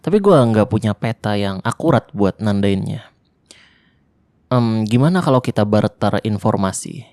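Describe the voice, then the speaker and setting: A man voicing a lonely young male survivor of a zombie apocalypse in an ASMR roleplay, talking softly and intimately in casual Jakarta slang, close to the microphone. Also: lowish voice, expressive, moderate pace, dry recording. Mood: hushed. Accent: Jakarta colloquial Indonesian.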